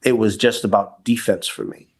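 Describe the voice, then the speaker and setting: Reflective low-voiced man in his forties. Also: normal speed, gravelly, husky. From New York City. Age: 40s. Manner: reflective, candid, frequent restarts. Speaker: podcast guest, kink educator. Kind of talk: casual interview, personal story.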